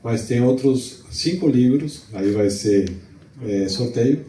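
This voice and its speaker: A middle-aged man from Venezuela, hosting a launch event in a relaxed, chatty way with restarts, speaking into a handheld mic in a hall. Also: mid-range voice, slow, recorded from a distance, a little hiss or hum. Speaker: event host. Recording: echoey hall, mic some way off.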